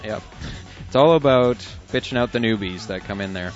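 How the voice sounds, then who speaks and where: A man's voice: lowish voice, moderate pace. A man co-hosting an internet radio show, reading listener emails aloud with chuckles and asides in casual chat. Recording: dull lo-fi audio with faint music.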